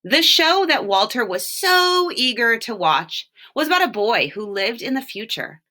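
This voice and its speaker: A woman reading a children's storybook aloud, with expressive, deliberate phrasing and short explanations. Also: fairly high pitch. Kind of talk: read-aloud storytelling with brief picture explanations.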